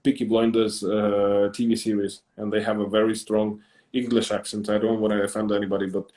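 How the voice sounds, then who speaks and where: A man with a Russian accent, a native Russian speaker, chatting on a live stream in a measured, reflective way with frequent pauses and fillers. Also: on the low side, level tone, warm tone. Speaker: YouTuber, co-host of a live stream. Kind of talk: casual conversational chat.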